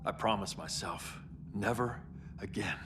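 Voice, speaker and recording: An actor playing a stern, driven superhero turned authoritarian in a video game cutscene, intense and commanding. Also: male voice, fairly low pitch, measured pace, background noise, close to an everyday voice. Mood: pained, pleading. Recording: music under the voice.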